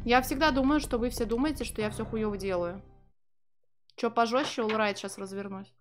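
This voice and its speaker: A woman's voice: fairly high pitch, moderate pace, faint music behind. Sardonic young woman streamer. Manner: profane, sardonic, chatting to viewers. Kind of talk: casual live game commentary with translation.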